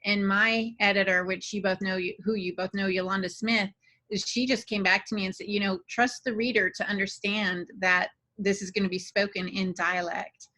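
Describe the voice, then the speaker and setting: A woman's voice: mid-range voice, steady tone, moderate pace, sharp tone, poor audio. A woman chatting casually as a podcast co-host about the craft of writing. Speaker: podcast co-host and fiction writer.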